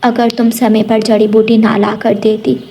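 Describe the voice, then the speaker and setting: A woman voicing a kindly, grateful farmer's wife in an animated children's story. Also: on the high side, measured pace. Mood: warm, grateful. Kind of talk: animated Hindi moral story dialogue.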